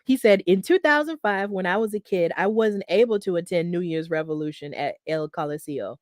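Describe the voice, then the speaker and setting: A woman's voice: lowish voice, lively intonation, fairly quick, a little mellow, no echo, studio quality. A woman hosting a solo wrestling news podcast, talking in a chatty, upbeat way with lots of fillers and self-corrections. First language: English. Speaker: podcast host.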